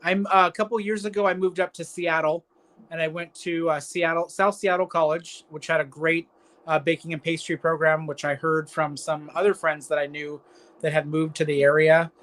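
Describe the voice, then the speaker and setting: A man's voice: a little high, little pitch movement, normal speed, fairly bright tone. A man in his thirties from California, a baker answering interview questions in an easygoing way. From California, USA. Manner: easygoing, talks in long stringing sentences. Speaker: guest baker.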